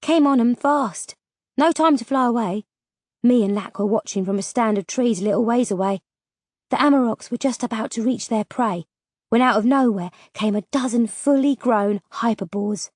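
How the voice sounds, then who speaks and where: A woman's voice: a little high, normal speed, darkish tone, dry recording, clean studio sound, lightly acted. Woman playing a cheeky, bold young woman. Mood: matter-of-fact. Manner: cheeky, colloquial. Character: teasing, bold young woman, rough-edged forest survivor.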